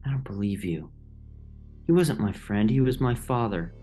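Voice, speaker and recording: A man's voice: on the low side, lively intonation, moderate pace, breathy edge. A man playing a plucky, loyal young mouse in an audio drama. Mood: defiant. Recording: loud music under the voice.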